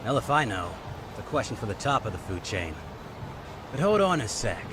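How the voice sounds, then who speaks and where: A man's voice: medium pitch, sing-song, a little fast, noisy background, natural voice. Sneering, suspicious gang thug played by a man. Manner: confrontational, sneering, slangy. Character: suspicious, menacing male street gang thug. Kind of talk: English dub of a crime drama game.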